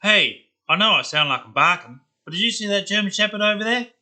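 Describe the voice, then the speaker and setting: A man's voice: sits high, animated, fairly quick, sharp tone, pitched above the performer's own voice, heavily acted. A man voicing a tiny, annoyed cartoon dog with an exaggerated Australian accent, pleading insistently.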